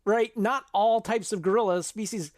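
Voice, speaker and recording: A man with a fairly high voice and a close, dry sound talks as a podcast co-host. He explains things in a casual, conversational way, regularly checking in with the listener as he builds a point. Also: steady tone, normal speed, no echo. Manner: explanatory, checks in with "right?" and "you know?".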